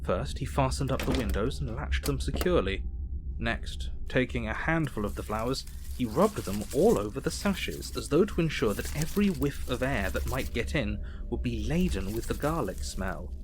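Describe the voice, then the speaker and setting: Male actor as earnest Victorian doctor, mid-pitched voice, measured, formal diary narration, soft music behind. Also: lively intonation, natural voice, rough recording. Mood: measured, puzzled. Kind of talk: audio drama narration.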